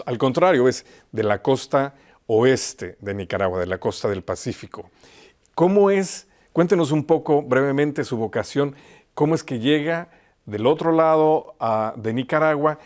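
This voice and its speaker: A man's voice: mid-range voice, darkish tone. A man interviewing a guest conversationally, hesitating and repeating words as he forms his questions. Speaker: interviewer, host.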